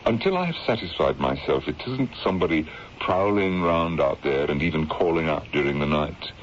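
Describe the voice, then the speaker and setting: A man playing a firm, rational colonel and protective middle-aged father in an old radio drama, speaking with measured, reassuring authority. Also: rumbling low, lively intonation. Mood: gentle, concerned. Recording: dull, noisy old radio drama recording.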